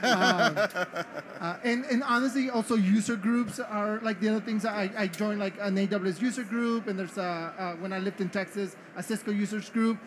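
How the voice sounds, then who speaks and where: Man, high voice, animated, rambling, faint noise. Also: moderate pace. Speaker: panel guest. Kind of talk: casual panel chat.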